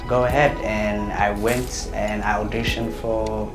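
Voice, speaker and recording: A man's voice: fairly low pitch, flat delivery, measured pace. A young man, talking hesitantly with many fillers in an interview. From Somalia. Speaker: interviewee, writer and actor. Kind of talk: casual interview. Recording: roomy with loud music behind.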